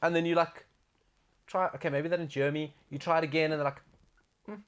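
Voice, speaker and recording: A man co-hosting a sports talk show, telling stories in a lively, jokey way. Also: a little high, fast talker, clear, resonant tone. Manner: lively, jokey, does silly voices. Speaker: co-host. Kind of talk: casual studio banter.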